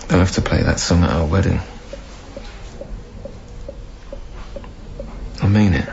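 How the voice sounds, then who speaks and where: A man's voice: sits low, fast talker, darkish tone. An actor playing a devoted, hopeful young father and former club DJ in a naturalistic radio drama, with a tender, playful manner. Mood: tender, wistful. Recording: dull lo-fi recording, clear background noise.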